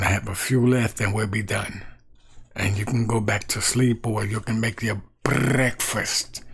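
A middle-aged man with a low, gravelly and husky voice reads scripture and talks it through, slowly and with care. He often repeats a phrase, sometimes trailing off into a musing murmur. He records himself on a desk camera in a small room at home. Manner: slow, deliberate, repeats key phrases, quiet and musing. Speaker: street evangelist. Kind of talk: Bible teaching to camera.

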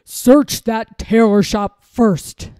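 A woman's voice: a little high, fairly even intonation, extremely slow, dark tone, no echo. A woman voicing a bossy old lord from a storybook, scolding and commanding in an angry manner.

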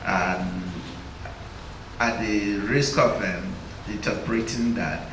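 A man interviewing an author onstage in front of a large audience, pausing often with "uh" and "um". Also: on the low side, steady tone. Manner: hesitant, full of "uh" and "um". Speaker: interviewer at a book launch. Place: stage before a large indoor audience. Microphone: handheld mic. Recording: echoey hall, distant from the mic, with noise.

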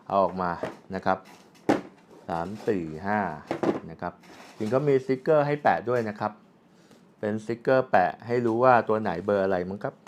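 A man gives a casual, unscripted product demonstration, explaining step by step as a reviewer. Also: lowish voice. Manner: explanatory, with frequent polite particles and spoken handling sounds. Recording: clearly audible background ambient noise.

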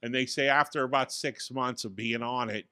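A man in his forties co-hosting a casual tech podcast, telling a relaxed, self-deprecating story from a small studio with a boom mic. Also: moderate pace, clean tone, no echo. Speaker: podcast co-host. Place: small home studio with acoustic panels. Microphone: studio mic on boom arm.

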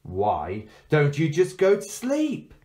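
A man's voice: fairly high pitch, huge pitch swings, slow, dark tone, voice pushed up, exaggerated voice. Man putting on an imperious, falsely innocent enchantress girl. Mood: coaxing. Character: ancient enchantress in a golden-haired young girl's guise, imperious, falsely innocent.

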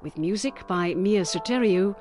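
A woman's voice: mid-range voice, normal speed, a little mellow. A woman radio announcer reading scripted credits in a formal announcing style. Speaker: continuity announcer. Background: music.

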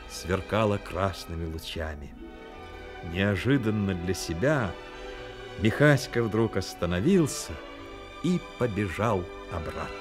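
An actor narrating a Soviet radio play as an earnest, emotive storyteller. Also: male voice, fairly low pitch. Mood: earnest, dramatic.

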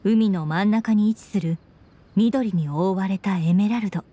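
A woman acts as a reflective young anime-game companion. The voice is mellow and mid-pitched, with a composed, measured, gently poetic delivery. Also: natural voice. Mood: calm, reflective. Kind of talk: Japanese anime game voice acting.

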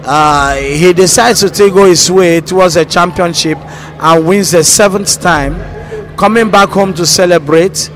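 High-voiced middle-aged Nigerian man, noisy recording. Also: measured pace, a bit bright. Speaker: stage actor being interviewed. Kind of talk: explaining a play's story in an interview. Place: large bare indoor hall. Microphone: handheld microphone held at the mouth. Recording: handheld mic with clear background noise.

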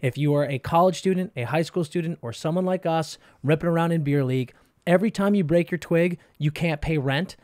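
Casting note: male podcast co-host, thirties, punchy pitchman energy, slightly higher voice, dry close-miked studio sound. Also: darkish tone, no room sound. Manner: hyped, emphatic pitchman delivery. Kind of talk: hockey podcast banter and sponsor read. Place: studio with brick and wood walls. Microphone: boom-arm podcast mic.

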